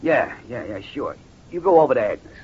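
An actor playing a weary, grumbling American husband in an old crime radio drama, with a colloquial way of talking. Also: male voice, mid-range voice, very animated, a little fast, a little mellow, slightly rough, exaggerated voice. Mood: resigned. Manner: colloquial, exasperated. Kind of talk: 1940s-50s crime radio drama acting. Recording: muffled old radio recording with noise.

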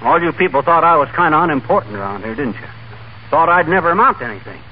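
Brief: a man doing a blustery braggart husband, lively up-and-down delivery, dull hissy old radio. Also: on the high side, fast, natural voice. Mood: brash. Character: blustery boastful middle-aged husband. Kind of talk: 1940s radio comedy acting. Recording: muffled noisy old radio recording.

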